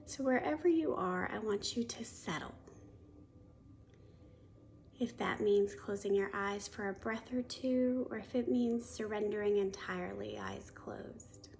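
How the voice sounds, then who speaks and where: Slow, creaky-voiced woman guiding meditation. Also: mid-range voice, a little hiss or hum. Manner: soothing, unhurried, gently instructive. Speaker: yoga and meditation teacher. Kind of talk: guided meditation.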